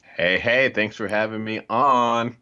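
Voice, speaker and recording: A man with a low but forceful voice chats casually as an interview guest. The microphone sounds dull and lo-fi. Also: slow pace, clear, resonant tone. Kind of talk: casual chat.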